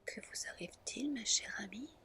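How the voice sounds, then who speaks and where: A woman's voice: on the high side, lively intonation, moderate pace, bright, forceful tone. A woman voicing a courteous, formal young prince in a whispered storybook reading. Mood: concerned. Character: courteous young prince addressing his fiancée.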